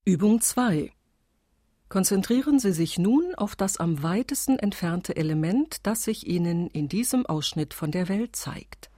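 A woman playing the narrator of an instruction recording in a radio play, reading steps in a measured, matter-of-fact way. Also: mid-range voice, expressive, warm tone. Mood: calm, instructive. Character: calm, exact female narrator of an instruction recording. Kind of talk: scripted step-by-step instructions.

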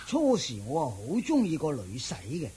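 An actor playing an anxious rich old father in a Cantonese radio drama, sighing and lamenting in a drawn-out Hong Kong Cantonese delivery. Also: fairly high pitch, wildly swooping pitch, mellow, a little hiss or hum. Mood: troubled, regretful.

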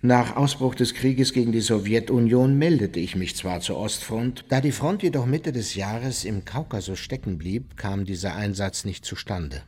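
Radio play role: unrepentant war criminal played by a man, lowish pitch, blunt, self-justifying, set in long reverb. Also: moderate pace, few pauses, a little mellow, close to an everyday voice. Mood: matter-of-fact, self-justifying. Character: unrepentant male Nazi war criminal recounting his deeds, coldly self-justifying. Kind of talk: German radio play, interview testimony.

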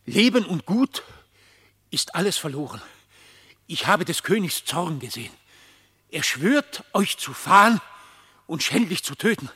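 A loyal, anxious badger nephew in a radio verse fable. The voice is very husky and rough, a little high, and swings widely as he urges caution. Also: sing-song, measured pace, long pauses, sharp tone, heavily acted. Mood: alarmed. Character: anxious loyal badger nephew warning his outlaw uncle. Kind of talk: theatrical radio verse drama.

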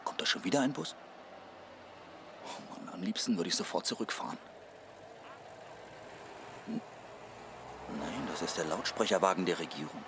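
A man's voice: low voice, very animated, moderate pace, long pauses, background noise. An actor playing a hapless, grumbling man in a German radio drama, hesitant and dryly sarcastic. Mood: muttering, grumbling. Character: hapless, grumbling male client on an absurd quest.

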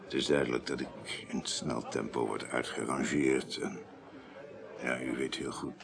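An actor playing an embittered, washed-up politician and ex-naval officer near breakdown in a radio crime drama, speaking haltingly and with grievance. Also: male voice, very deep voice, fairly even intonation, creaky, crowd noise, exaggerated voice. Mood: resigned, bitter. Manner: hesitant, halting, aggrieved. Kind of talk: 1980s Dutch radio play acting.

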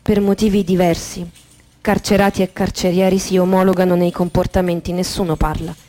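A woman narrating a first-person literary monologue for radio theatre in measured, deliberate phrases. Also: fairly low pitch, little pitch movement, warm tone. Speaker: actress narrator. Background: guitar music.

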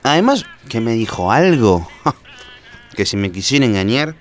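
A man's voice: medium pitch, sing-song, unhurried, soft background music. An Argentine man playing a bumbling, self-satisfied private detective in a comedy radio play, pompous and absent-minded. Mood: casually smug.

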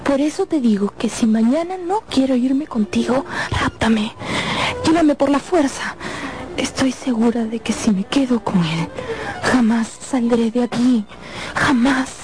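An actress playing a tormented, pleading young opera singer in a radio drama. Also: female voice, fairly high pitch, animated, unhurried. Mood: desperate pleading. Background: music.

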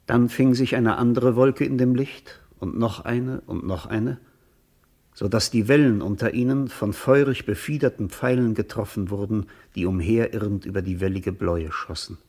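A man acting as the detached, observant narrator of a literary radio play, reading poetic description in a measured, composed way. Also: fairly low pitch, a little mellow.

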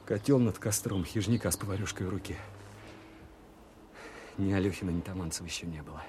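Watchful, earnest army man male actor, low register, confiding radio-play delivery, clear background noise. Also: brisk, warm tone, natural voice. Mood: reflective, weary. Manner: plain, colloquial, confiding. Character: earnest, watchful soldier recounting his wartime manhunt. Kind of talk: first-person radio play narration.

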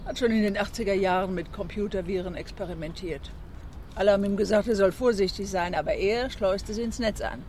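An actor in a radio drama playing a frank scientist who gossips about a colleague in conversational dialogue. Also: male voice, high-pitched, brisk, darkish tone, a little hiss or hum, natural voice. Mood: frank, disapproving.

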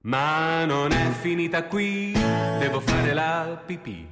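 High male singer over guitar, roomy sound. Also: slow. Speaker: singer-songwriter. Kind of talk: comic sung storytelling over guitar. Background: acoustic guitar.

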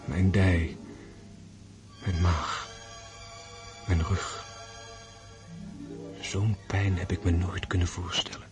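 An actor in a radio drama playing an introspective army officer facing death, delivering a reflective inner monologue. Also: male voice, low voice, flat delivery, slow pace, pauses often, over music. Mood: pained, brooding.

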